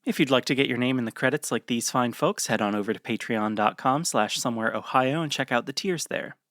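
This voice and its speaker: A man's voice: medium pitch, a little fast, fairly bright tone, no room sound, clean studio sound. A man, the show's creator, reading a scripted podcast outro with credits and announcements.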